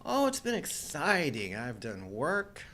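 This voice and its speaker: A man's voice: a little high, huge pitch swings, a little slow, sharp tone. A middle-aged man co-hosting a live show with casual, jokey, self-deprecating banter.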